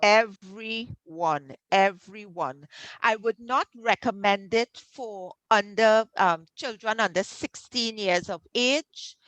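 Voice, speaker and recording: A woman's voice: slow pace, no echo, low-quality recording. A Trinidadian woman hosting an online health workshop over a video call, encouraging and persuasive, with frequent ums. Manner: encouraging, warm sales pitch with many ums. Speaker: workshop host, health coach.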